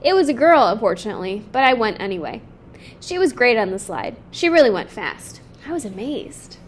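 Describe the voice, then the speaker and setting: A woman's voice: a little high, sing-song, brisk, slight background noise. A woman voicing a lonely, timid little boy in a picture book, wistful and earnest. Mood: tentatively pleased. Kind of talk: children's picture book read-aloud.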